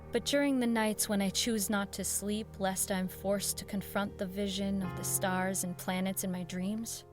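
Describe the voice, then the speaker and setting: An actress playing a haunted, grieving woman who recounts an eerie horror in halting, intense radio drama narration. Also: medium pitch, close to an everyday voice. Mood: brooding, uneasy. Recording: music under the voice. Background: music.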